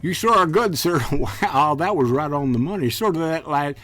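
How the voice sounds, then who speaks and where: Animated, naive Southern good old boy. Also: fairly high pitch, normal speed, faint music behind. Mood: amazed, naive. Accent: Mississippi Southern American. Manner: rambling, stammering, chuckling. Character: folksy, naive, eager Southern male client.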